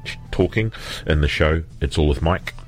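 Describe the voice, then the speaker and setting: Male singer, extremely low voice, thoughtful, relaxed interview guest. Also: moderate pace, soft background music. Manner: reflective, laughs easily. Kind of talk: conversational interview.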